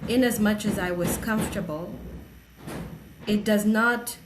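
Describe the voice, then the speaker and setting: A young woman from Tanzania answering interview questions, measured and pausing often with fillers. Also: mid-range voice, over music. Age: young adult. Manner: measured, hesitant, many filler pauses. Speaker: guest, gender equality advocate.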